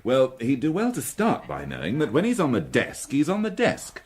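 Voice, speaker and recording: A man's voice: mid-range voice, huge pitch swings, normal speed, a little mellow. A man playing a formal, commanding police inspector in a radio drama. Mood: dry. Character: stern, authoritative male police inspector.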